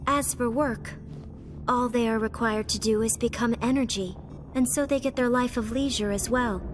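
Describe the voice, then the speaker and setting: Woman as a grave, high-voiced game heroine. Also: fairly even intonation, close to an everyday voice. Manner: measured, explanatory. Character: solemn young woman resistance member, grave and composed. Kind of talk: animated video game voice acting. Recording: music behind the voice.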